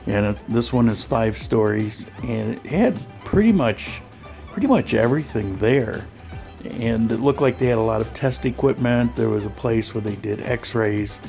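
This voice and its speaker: A man narrating his vlog as a voice-over, relaxed and conversational, with frequent fillers and restarts. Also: mid-range voice, moderate pace, gravelly, soft background music. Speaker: vlogger. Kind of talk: voice-over narration of a clinic visit.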